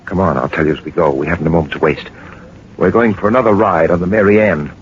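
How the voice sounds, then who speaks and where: Actor as a commanding adventurer captain on muffled old radio. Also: male voice, deep voice, quick pace, natural voice. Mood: brisk, knowing. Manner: clipped, authoritative, urgent. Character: brisk, commanding gentleman adventurer and detective captain. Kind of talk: 1940s radio drama acting and first-person narration. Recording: muffled, noisy 1940s radio recording.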